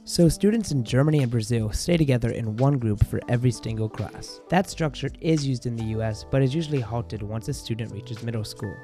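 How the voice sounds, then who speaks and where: A young man hosting a student podcast, reading scripted linking narration in a measured way. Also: medium pitch, warm tone, faint music behind. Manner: measured, reads linking lines. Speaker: host.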